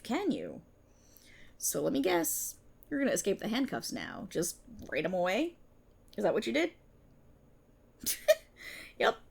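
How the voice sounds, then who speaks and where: A woman playing a sardonic, menacing, resentful police officer in an ASMR roleplay, with a breathy voice and a mocking, theatrical delivery. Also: sits high, darkish tone, heavily acted.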